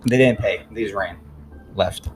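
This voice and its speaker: A man's voice: lowish voice, measured pace, background noise. Hesitant man hosting a podcast. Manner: hesitant, filler-heavy. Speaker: podcast host. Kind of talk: casual podcast interview.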